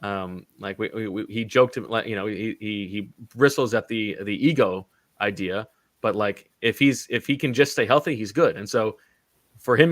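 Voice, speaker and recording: A man's voice: medium pitch, no echo, clean studio sound. A man co-hosting a sports podcast in casual chat, joking and teasing his partner.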